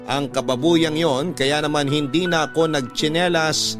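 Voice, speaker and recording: A man's voice: fairly high pitch, fairly even intonation, normal speed, lightly acted. A man playing the confiding narrator of a lover's letter in a Filipino radio drama, telling it in a scripted dramatic style. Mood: reflective, dramatic. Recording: instrumental music bed behind the voice.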